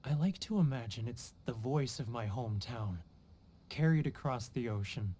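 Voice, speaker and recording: A man's voice: medium pitch, animated, moderate pace, mellow, breathy edge. An actor playing a homesick, guilt-ridden male merchant in a video game, theatrical and sighing, self-pitying in manner. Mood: anguished. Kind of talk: video game character acting.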